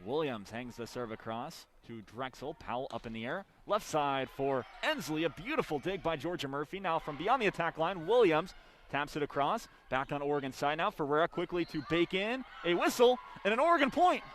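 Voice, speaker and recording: Higher-pitched young male play-by-play announcer. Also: animated, fairly quick, fairly bright tone, a little hiss or hum. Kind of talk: live volleyball play-by-play commentary.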